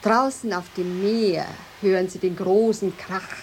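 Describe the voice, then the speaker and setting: An actress in a German radio drama playing a haughty, jealous lighthouse mistress, speaking in an imperious, formal and mocking way. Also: female voice, medium pitch, steady tone, unhurried, slight background noise, close to an everyday voice. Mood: scornful, mocking.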